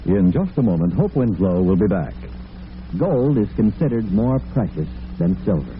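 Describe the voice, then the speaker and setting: A man's voice: lowish voice, sing-song, normal speed. A man working as a radio announcer, reading scripted narration formally in a mid-century broadcast style. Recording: tinny, noisy vintage radio broadcast.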